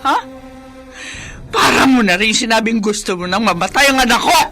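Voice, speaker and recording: A woman's voice: on the high side, moderate pace, sharp tone, faint music behind. An actress playing a grieving, furious older mother-in-law in a melodramatic, accusatory radio drama style. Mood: disbelieving, outraged. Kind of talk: Tagalog radio drama acting.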